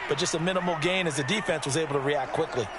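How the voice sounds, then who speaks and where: A man's voice: fairly high pitch, racing, a loud crowd, heavy background noise, close to an everyday voice. A man voicing an enthusiastic TV football analyst in a video game, explaining emphatically in a conversational way. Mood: enthusiastic, explanatory.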